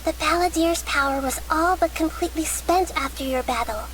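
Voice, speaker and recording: A childlike goddess of wisdom speaks in a high, gentle voice for a video game. Her phrasing is formal, measured and calm, over clear background noise. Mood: composed. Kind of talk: video game character acting.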